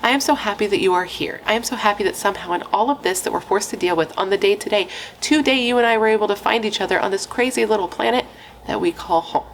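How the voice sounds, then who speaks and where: A woman's voice: mid-range voice, quick pace, a little hiss or hum, rough recording. A young adult woman, a pregnant true crime YouTuber, chatting playfully with dramatic asides and casual swearing.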